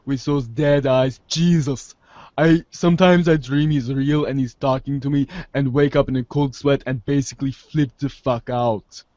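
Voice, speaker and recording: A man's voice: medium pitch, steady tone, moderate pace, warm tone, no echo, lo-fi sound, lightly acted. A man playing a too-cool, rambling teenage slacker who is uneasy underneath, casual and sweary, in a fan theater reading. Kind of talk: read-along fan theater.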